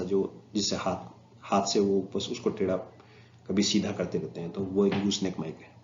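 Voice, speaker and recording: A man's voice: on the low side, a little hiss or hum. Indian guy teaching off slides, hindi mixed with english tech words, muffled echoey room sound. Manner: mixes Hindi with English technical terms. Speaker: instructor. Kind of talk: slide presentation lecture, explaining informally.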